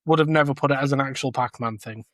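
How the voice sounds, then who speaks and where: A man's voice: medium pitch, fast talker, a bit bright, dry recording. A man chatting casually as a co-host on a review podcast. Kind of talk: casual chat.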